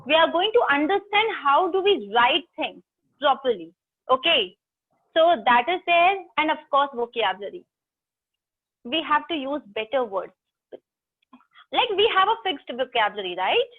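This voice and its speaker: A woman's voice: high voice, very animated, moderate pace, pauses often. A woman from South India with an Indian English accent, teaching an online English class in a chatty, teasing way and talking straight to her students. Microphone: video call. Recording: tinny video-call audio.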